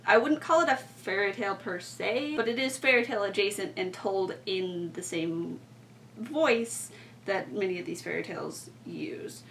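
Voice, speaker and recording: A woman's voice: medium pitch, normal speed, vocal fry. A young woman explaining things in a casual, rambling way, with plenty of informal filler words. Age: young adult. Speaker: podcast co-host and student writer. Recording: distant mic, faint background noise.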